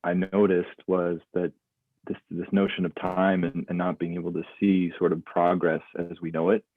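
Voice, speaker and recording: A man's voice: deep voice, little pitch movement, moderate pace, no echo. A man in his late twenties, a guest, reflecting thoughtfully in loose conversational talk with many restarts and fillers. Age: 20s. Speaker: guest, mindfulness instructor. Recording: tinny phone-like line, dry.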